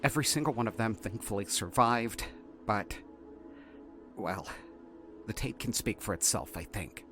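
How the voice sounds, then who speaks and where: A man playing a shaken, exasperated researcher, haltingly dictating an incident report in an audio drama. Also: on the low side, lively intonation, a bit bright. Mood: flustered, incredulous. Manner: halting, flustered, repeating words. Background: faint music.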